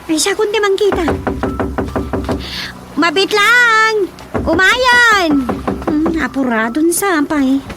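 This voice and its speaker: A woman's voice: very high voice, lively intonation, slow pace, pauses often, heavy background noise, exaggerated voice. A woman acting as an eager, respectful daughter who leads a village group, in a radio drama with an Ilocano accent. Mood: lively, welcoming. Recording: radio drama with music behind.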